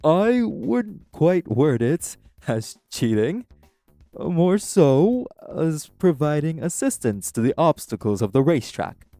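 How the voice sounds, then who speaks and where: A man's voice: on the high side, very animated, mellow, faint music behind, exaggerated voice. A man playing a polite, earnest guardian angel who speaks formally and diplomatically in an audio roleplay. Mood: gently explaining. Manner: formal, courteous, measured explaining.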